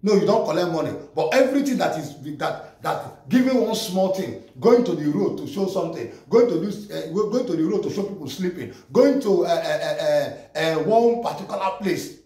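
A man's voice: high-pitched, expressive, normal speed, hardly any pauses, mellow. A middle-aged man with a Nigerian accent, ranting straight to camera in a small room, emphatic and repetitive, often stumbling over repeated words. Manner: emphatic, repetitive, stammering restarts, gesturing. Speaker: vlogger. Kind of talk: impassioned direct-to-camera rant. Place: small plain room indoors. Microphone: camera a few steps away. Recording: echoey room, voice distant from mic.

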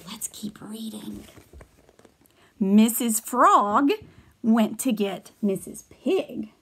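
A woman in her thirties reading a picture book aloud to children in a gentle, expressive way, explaining as she goes. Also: a little high, very slow, gravelly, slightly rough. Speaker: storytime reader. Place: small furnished room at home.

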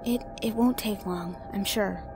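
A woman's voice: medium pitch, measured pace, over music. A young woman voicing a frightened friend exploring a haunted asylum, nervous and stammering. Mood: uneasy. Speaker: voice actor. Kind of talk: audio drama acting.

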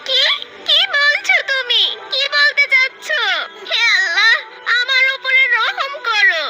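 A woman's voice: extremely high pitch, animated, takes it slowly, over loud music, pitched above the performer's own voice, full character voice, rough recording. A woman voicing a distraught, devout mother in a cartoon, pleading and wailing emotionally for her lost son. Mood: frantic, distraught. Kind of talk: melodramatic cartoon dubbing.

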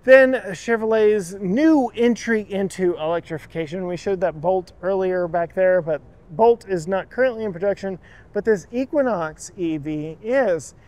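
A man's voice: high-pitched, a little slow, darkish tone. A man hosting a car channel, walking through an auto show and chatting enthusiastically about the vehicles while cueing his camera operator. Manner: enthusiastic, chatty, gushes over details. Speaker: car reviewer and channel host.

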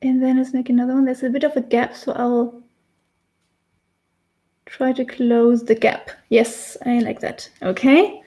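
Chatty German-accented woman, soft tone. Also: on the high side, little pitch movement, a little slow. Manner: chatty, laughs often, restarts while painting. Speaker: face painting tutor. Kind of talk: casual live-stream tutorial. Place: small indoor room with decorated wall.

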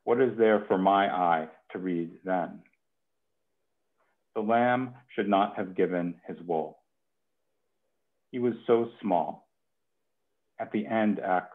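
Man, thirties, very low voice, reading host, phone-like video call sound. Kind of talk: hosting and reading poetry. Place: home kitchen. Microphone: headset on video call.

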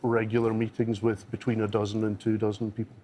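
A man, chatting as a quiz contestant, pausing with uh and um. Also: lowish voice, monotone, mellow, a little hiss or hum. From Scotland. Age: 40s. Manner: hesitant, fills with uh and um. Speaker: quiz team captain. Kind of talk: casual interview chat. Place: TV studio.

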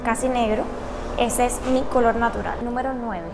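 Cuban woman, late twenties, chatty vlogger, gravelly voice, music bed behind her. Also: a little high, fairly even intonation, moderate pace. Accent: Cuban Spanish. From Cuba. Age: 20s. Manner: chatty, answers each question in turn. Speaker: YouTuber. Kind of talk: casual Q&A vlog to camera. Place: indoors, large room with tables.

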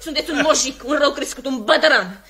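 A woman's voice: high voice, pushed and bright. An actress playing a haughty, indignant young widowed lady, speaking formally and emphatically in 1950s radio theatre style.

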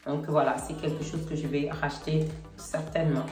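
A middle-aged woman with a cold and a slightly congested voice, chatting casually to camera about products with a relaxed, hesitant manner. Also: sits low, normal speed. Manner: relaxed, hesitant, many fillers. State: has a cold. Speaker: beauty vlogger. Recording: roomy, slightly distant, faint music.

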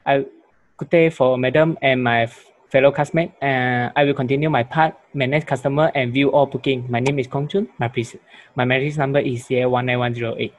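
A man's voice: medium pitch, fairly even intonation, a little fast. Young man stumbling through a demo. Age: young adult. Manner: stumbling, many restarts and fillers. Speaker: student presenter. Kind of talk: software demo walkthrough.

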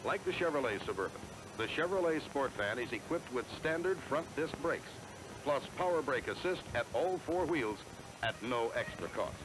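Lively American man narrating a vintage sales film. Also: mid-range voice, moderate pace, background noise. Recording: vintage 1970s film soundtrack. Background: music.

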